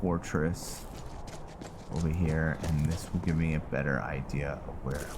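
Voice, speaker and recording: A man's voice: extremely low pitch, steady tone, unhurried, background noise. A man giving casual commentary on his own video game playthrough, thinking aloud with mild exclamations. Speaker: gamer narrating own playthrough. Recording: loud game music under voice. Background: loud video game music.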